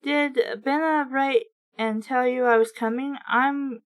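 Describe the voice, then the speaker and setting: A woman's voice: high-pitched, steady tone, measured pace. Woman reading as a polite middle-aged visitor. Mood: pleasant, polite.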